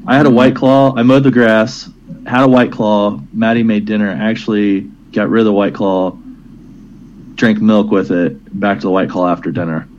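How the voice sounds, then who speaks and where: A man's voice: fairly low pitch, flat delivery, normal speed, darkish tone, muffled recording, slight background noise. A man hosting a casual podcast, teasing his co-hosts and laughing often. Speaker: podcast host. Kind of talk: casual chat.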